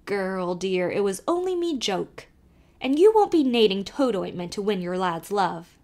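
A woman's voice: on the high side, sing-song, measured pace, voice pushed up. A woman voicing a jolly old male peddler with a thick brogue, sly and teasing, in a storybook reading.

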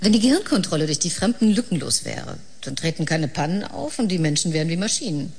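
Composed, lowish-voiced female scientist. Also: lively intonation, quick pace, darkish tone, husky. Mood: thoughtful. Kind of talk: German science-fiction radio drama acting.

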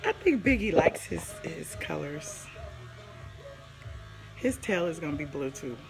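A hoarse woman in her forties, chatting casually on a live stream from her living room, rambling and greeting viewers by name, with a phone held at arm's length. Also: deep voice, sing-song, a little slow, soft background music, rough recording. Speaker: livestream host. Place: living room at home, indoors.

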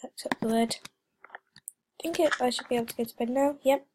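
A woman's voice: high-pitched, steady tone, brisk, darkish tone. A teenage girl rambling through casual gameplay commentary, with lots of fillers, frequent drops into whispers, and a slightly stuffy voice from a cold. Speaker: gaming channel host. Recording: noisy home recording with clicks.

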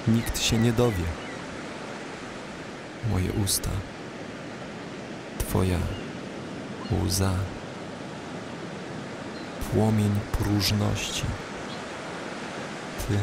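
An actor playing a tormented, brooding lover, reciting poetry in short weighted phrases for an audio drama. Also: male voice, fairly low pitch, extremely slow, gravelly, long pauses, very noisy, full character voice. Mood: anguished, brooding.

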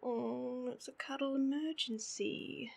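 A sleepy, needy girlfriend played by a woman with an Australian accent, whining playfully at bedtime. Her delivery is slow and drawled, with a creaky, husky voice. It is intimate roleplay audio, spoken right to the listener. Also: sits high, darkish tone, full character voice. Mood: whiny, needy. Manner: drowsy, whiny drawl with sighs and hums.

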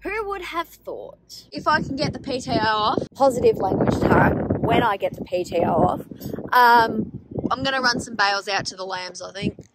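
A young Australian woman talks to her phone at arm's length, in a fairly high voice. She is wry and blunt and swears freely. Wind and engine rumble come through clearly behind her. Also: animated, moderate pace. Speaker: farm vlogger. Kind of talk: casual vlog chat. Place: car, tractor cab and open paddocks on a windy farm. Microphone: phone camera held at arm's length.

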